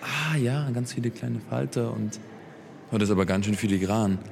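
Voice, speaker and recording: A man's voice: medium pitch, normal speed, gravelly, a little mellow, a little hiss or hum. A man interviewing art students at an exhibition, asking curious questions in a casual way.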